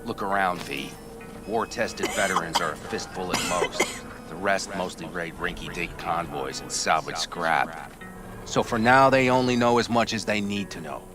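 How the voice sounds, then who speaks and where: Actor as a folksy nomad veteran man, low voice, plain-spoken, loyal, video game, music clearly behind. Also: moderate pace, close to an everyday voice. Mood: frank, grim.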